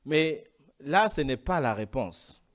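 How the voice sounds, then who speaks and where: A man preaching a sermon, from Congo, emphatic and rising into louder, higher passages. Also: sing-song, slow pace.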